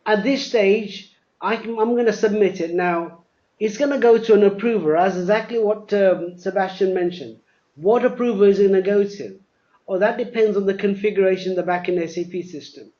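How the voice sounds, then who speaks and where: Male presenter, high-pitched voice, step-by-step explanatory demo, repeats words, dull webinar recording. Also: a little fast, warm tone. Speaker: software demonstrator, presenter. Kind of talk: live software demo presentation.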